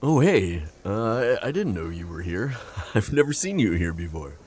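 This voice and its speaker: Man playing a deep-voiced, sardonic, secretive coworker. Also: sing-song, moderate pace, darkish tone, breathy edge. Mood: awkward, flustered. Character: sardonic, protective male civilian coworker hiding a secret identity. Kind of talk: audio roleplay acting.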